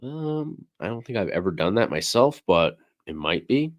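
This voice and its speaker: A man hosting a casual livestream, slightly hoarse and losing his voice, talking in a relaxed, rambling way with many fillers. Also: fairly low pitch, moderate pace, no room sound, studio quality. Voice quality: raspy. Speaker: livestream host.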